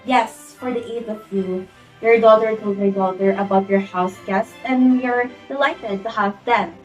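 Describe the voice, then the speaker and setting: A woman's voice: medium pitch, fairly even intonation, moderate pace, close to an everyday voice. A young woman playing an imperious, easily outraged society hostess and domineering mother in an amateur stage play, with a haughty, melodramatic delivery. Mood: insistent, polite. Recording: distant mic under music.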